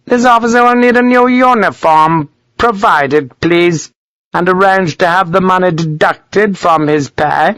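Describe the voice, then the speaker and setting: A man's voice: high-pitched, a little slow, fairly bright tone, dry recording, pitched above the performer's own voice. A man voicing a pompous, irascible colonel in an audiobook, barking imperious orders at subordinates. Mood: haughty, curt.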